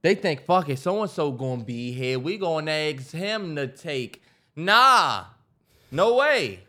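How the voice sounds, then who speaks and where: A man's voice: fairly high pitch, very animated, slow, bright, forceful tone. An American man co-hosting a podcast, chatting casually with slangy, profane, emphatic phrasing. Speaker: podcast co-host. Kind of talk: casual chat.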